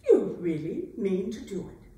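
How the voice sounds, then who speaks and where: A woman's voice: mid-range voice, very animated, measured pace, mellow. A woman acting a proud, sardonic, wounded queen in a staged dramatic reading, with a dry, pointed theatrical delivery. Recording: roomy, echoing hall, distant microphone.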